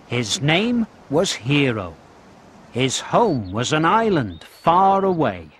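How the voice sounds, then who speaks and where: A man narrating a children's storybook game in a deliberate, expressive storytelling style, as scripted narration. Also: fairly high pitch, slight background noise. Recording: music playing behind the voice. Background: background music.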